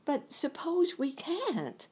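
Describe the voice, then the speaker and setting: A woman voicing a gentle, sad young girl in a storybook reading, in a soft, plaintive girlish voice. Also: sits high, wildly swooping pitch, slow, pitched above the performer's own voice, heavily acted.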